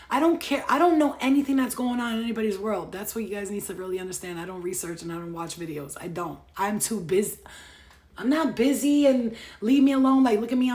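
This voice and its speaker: A woman in her late thirties, a tarot reader and spiritual healer, chatting casually to live-stream viewers in a brash, profane, animated way that swings between loud rants and soft, earnest asides. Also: medium pitch. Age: 30s.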